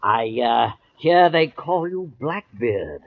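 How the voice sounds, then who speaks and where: An actor playing a corrupt, gleefully scheming colonial governor in an old-time radio drama. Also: male voice, fairly high pitch, very animated, slow pace, vocal fry, exaggerated voice. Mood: smug. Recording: muffled vintage radio recording.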